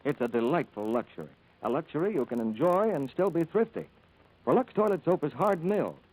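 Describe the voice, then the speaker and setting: A man announcing on 1940s radio in a polished, scripted sales style, as host and soap pitchman. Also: lively intonation, fairly quick, a little mellow, low-quality recording.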